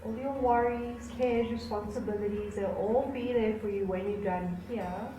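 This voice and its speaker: A woman teaches a yoga class, guiding listeners into relaxation. Her delivery is soothing and unhurried, with gentle pauses between instructions. The room adds a clear echo, and music plays faintly behind her. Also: mid-range voice, steady tone.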